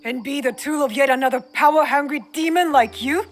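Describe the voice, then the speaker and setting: A woman's voice: sits high, fairly quick, lightly acted. A woman playing a determined, guarded young female shinobi in a video game cutscene, speaking with earnest intensity. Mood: defiant. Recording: long hall reverb, music behind.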